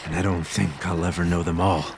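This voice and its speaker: An actor playing a weary, troubled, brooding adventurer in a monster radio drama, with a low, gravelly voice. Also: male voice, fairly even intonation, brisk, background noise. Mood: subdued. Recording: loud music bed behind voice.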